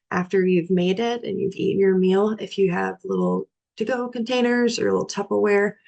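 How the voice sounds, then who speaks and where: A young woman, a nutrition educator, giving a relaxed, practical cooking talk with frequent fillers, her voice raspy and hoarse from a cold. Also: on the low side. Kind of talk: informal library talk on cooking.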